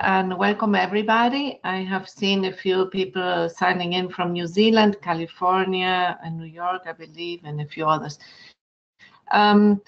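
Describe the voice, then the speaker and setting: A woman from Argentina whose first language is German, with a German accent, presenting an online lecture in an earnest, measured way with frequent fillers. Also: mid-range voice, highs cut off. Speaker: webinar presenter.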